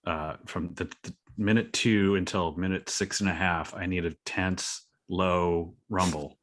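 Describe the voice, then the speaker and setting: A man's voice: sits low, fairly even intonation, unhurried. A man speaking as an interview guest, chatting casually and acting out past conversations as he explains. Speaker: podcast guest, independent creator. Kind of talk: casual anecdotal chat.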